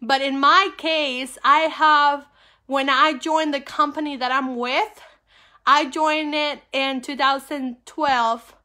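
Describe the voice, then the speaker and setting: Bright, high-pitched Peruvian-accented woman. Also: fairly even intonation, slow pace. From Peru. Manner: upbeat, emphatic, repeats key phrases. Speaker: network marketing coach and livestream host. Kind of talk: informal livestream talk to viewers.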